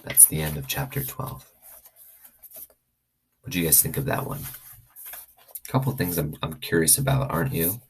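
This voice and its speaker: A man reading a children's novel aloud as an expressive storyteller. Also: a bass voice, moderate pace, vocal fry, long pauses, slight background noise.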